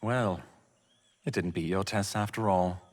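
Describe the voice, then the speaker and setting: A man's voice: deep voice, unhurried. A man voicing a wry, slightly nervous spell apprentice in a fantasy game, hesitant and understated. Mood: wry, uneasy.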